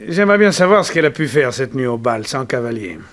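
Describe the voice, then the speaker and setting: An actor playing a bewildered, dignified gentleman with formal speech in a 1960s French radio serial. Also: mid-range voice, sing-song, fast talker. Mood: wounded, dignified. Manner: stammering when baffled, formal.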